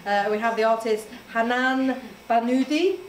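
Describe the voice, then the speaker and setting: A woman's voice: on the high side, normal speed. A woman hosting an art salon, introducing speakers in an effusive, chatty way. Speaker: event host. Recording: echoey hall, distant mic, crowd noise.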